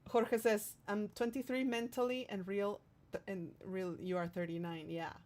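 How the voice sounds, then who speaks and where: A woman's voice: medium pitch, fairly even intonation, unhurried, a little mellow, a little hiss or hum. Female livestream host, mid-range voice, casual and affectionate, playful while reading and answering viewer messages. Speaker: streamer hosting a livestream, singer-songwriter.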